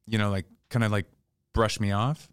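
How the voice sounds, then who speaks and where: A man hosting a casual podcast chat, laid-back and quick to laugh. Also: lowish voice, fairly even intonation, clear, resonant tone, no room sound, studio quality. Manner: laid-back, short backchannels, easy laughter.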